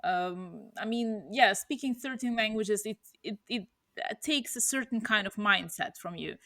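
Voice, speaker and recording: A woman's voice: medium pitch, unhurried, pushed and bright, no echo, studio quality. A woman in her thirties, a native Russian speaker, musing casually as a podcast co-host, with many fillers and restarts. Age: 30s. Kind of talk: casual chat.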